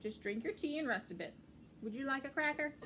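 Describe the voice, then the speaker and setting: A woman's voice: sits high, fairly quick, fairly bright tone, slight background noise, pitched above the performer's own voice. A woman voicing a friendly, cheeky male pack rat in a children's story, chatty and unbothered. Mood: kindly.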